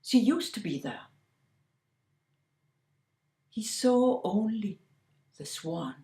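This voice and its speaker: A woman telling a fairy tale slowly and deliberately, sometimes dropping to a hushed whisper. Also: mid-range voice, lively intonation, roomy sound. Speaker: storyteller. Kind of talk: oral fairy-tale storytelling.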